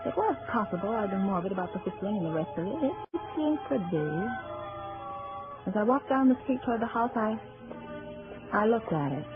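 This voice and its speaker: A woman's voice: medium pitch, very animated, a little fast, over loud music, close to an everyday voice. An actress playing a nervous, suspicious woman who lives alone, in a dramatic old-time radio style with hesitant delivery. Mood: uneasy, brooding. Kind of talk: old-time radio drama acting, with first-person narration. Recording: muffled, tinny old radio broadcast.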